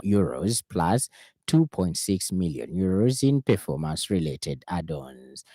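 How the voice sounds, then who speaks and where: A man with a Zambian accent reading a scripted radio news bulletin in a formal, measured announcer's delivery. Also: low-pitched, mellow, dry recording. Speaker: radio newsreader. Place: radio studio.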